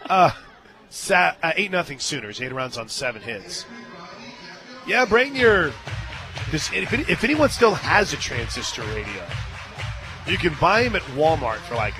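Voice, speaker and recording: An American man doing live radio play-by-play of softball from a stadium booth, mixing casual banter with animated calls of the action. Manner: animated and shouting on big plays, conversational between pitches. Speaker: play-by-play announcer.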